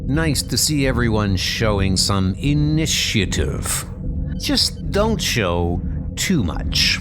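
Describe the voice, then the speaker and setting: Over clear background music, a man plays a scheming comic book supervillain. He is coolly commanding and menacing. His phrases swing in pitch and stretch out slowly. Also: big pitch swings. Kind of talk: comic book dub acting. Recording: music bed under the voice.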